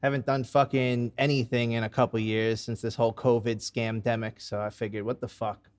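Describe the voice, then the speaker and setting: A man's voice: mid-range voice, flat delivery, fairly quick. A young man hosting a casual podcast on a headset from his room at home, rambling with restarts and casual curses. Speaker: podcast host. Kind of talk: casual chat. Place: home room with red lights on video call.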